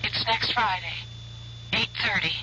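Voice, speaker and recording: Worried young woman, sharp bright phone voice. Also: medium pitch, unhurried, rough recording. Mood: insistent. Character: worried, pleading young adopted niece, engaged. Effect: telephone filter. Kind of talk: radio drama acting. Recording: thin telephone voice, faint hiss.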